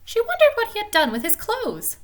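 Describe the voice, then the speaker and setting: A woman reading a children's storybook aloud in a gentle, expressive storytelling manner with dramatic pauses. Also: very high voice. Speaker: storybook reader.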